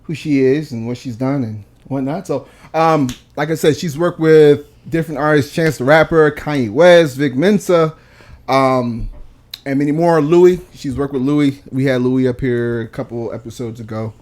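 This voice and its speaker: A man hosting a relaxed podcast, talking loosely and piling on fillers as he works through an introduction. His voice sits at an ordinary middle pitch for a man. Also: normal speed. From Virginia, USA. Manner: rambling, filler-heavy intros. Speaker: podcast host. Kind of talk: casual chat.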